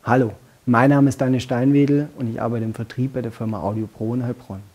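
A man in his late twenties working in sales, giving a low-key, steady testimonial to camera. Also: fast, lo-fi sound, a little hiss or hum. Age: 20s. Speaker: sales employee.

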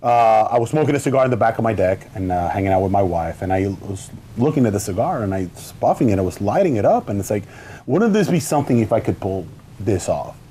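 A man in his thirties from the Dominican Republic chats on a studio cigar panel, his voice low and mellow. He talks eagerly but keeps stopping and restarting mid-sentence, caught on a lapel mic with a faint hiss under him. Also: animated. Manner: halting, restarts often, eager. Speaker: cigar company founder, guest. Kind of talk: casual panel talk. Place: studio set with wood shelves, indoors.

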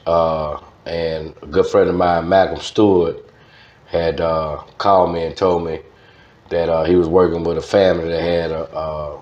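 An older man with a Louisiana Southern accent, reminiscing casually as an interview guest in an unhurried, folksy way. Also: sits low, level tone, darkish tone. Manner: unhurried, folksy, with frequent "man" and "you know". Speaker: interview guest, music promoter. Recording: roomy, dull lo-fi sound.

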